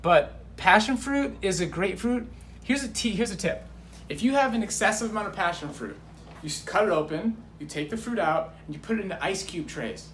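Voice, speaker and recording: A man's voice: high-pitched, expressive, a little fast, a bit bright. An American man speaks in an upbeat, enthusiastic way, casually sharing practical tips as a guest. His voice is fairly light for a man and echoes in the room, with faint noise around it. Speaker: guest, diabetes coach.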